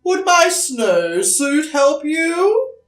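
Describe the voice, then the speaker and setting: A woman voicing a big growling polar bear in a storybook, loud, emphatic and drawn-out. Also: high-pitched, very animated, faint music behind, voice pushed up, full character voice. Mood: gruff, confiding. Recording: roomy echo, distant mic.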